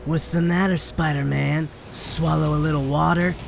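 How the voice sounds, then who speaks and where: Male actor as taunting hired-gun villain: highish, crackly voice, cocky, comic-book drama, faint noise behind. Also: flat delivery, normal speed, gravelly. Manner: mocking, cocky.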